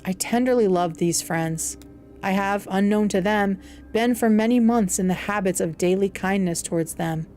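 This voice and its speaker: A woman's voice: medium pitch, normal speed, a little mellow, faint music behind, natural voice. Woman voicing a lonely, eloquent outcast monster. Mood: earnest, troubled. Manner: earnest, formal, sorrowful. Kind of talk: audiobook character dialogue.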